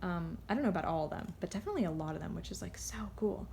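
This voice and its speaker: A woman giving a casual, unscripted card reading, rambling and halting as she drifts off on tangents. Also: lowish voice, animated, vocal fry, a little mellow, a little hiss or hum. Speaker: tarot card reader.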